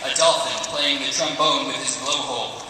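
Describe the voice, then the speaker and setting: A man's voice: mid-range voice, fairly even intonation, moderate pace. A man reading stage directions as scripted narration at a table read. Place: large convention hall, audience present. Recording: distant, echoey hall with loud noise.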